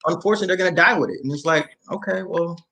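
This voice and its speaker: A man's voice: on the high side, very fast, vocal fry, sharp tone. A man talking casually in a group podcast, blunt and slangy with laid-back joking. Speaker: podcast panelist.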